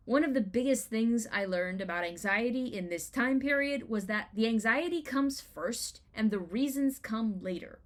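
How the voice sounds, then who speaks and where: Woman giving an expressive personal essay reading. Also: a little high. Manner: expressive, deliberate storytelling with emphatic rhetorical questions. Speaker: writer reading own blog essay.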